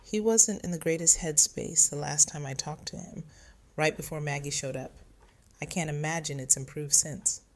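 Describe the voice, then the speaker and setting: A woman's voice: sits low, fairly even intonation, normal speed, close to an everyday voice. An actress playing a resilient, weary widowed mother who speaks frankly in an audio drama. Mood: warm concern.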